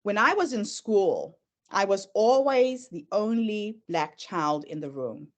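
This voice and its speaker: A middle-aged woman with a Zimbabwean accent blended with American sounds, a native Shona speaker. She presents in an earnest, storytelling manner, her pitch rising and falling expressively as she moves through her slides. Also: expressive, takes it slowly. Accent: Zimbabwean, mixed with American. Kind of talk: presentation talk.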